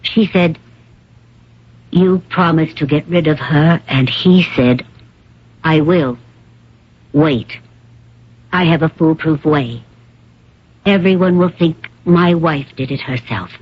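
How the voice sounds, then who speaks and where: A woman playing a proud, worldly older stage actress with a low, husky voice and poised, theatrical delivery, in old-time radio drama. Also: slow, long pauses, full character voice. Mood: reflective, confessing. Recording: dull, muffled vintage radio recording.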